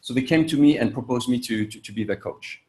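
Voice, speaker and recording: An English-speaking man, born in Vietnam and raised in France, works as a coach and answers interview questions. His delivery is halting and full of "uh" fillers and self-corrections, at a middle pitch. The sound is echoey, as if recorded in a reflective room. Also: darkish tone. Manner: halting, many "uh" fillers and restarts. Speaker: executive coach.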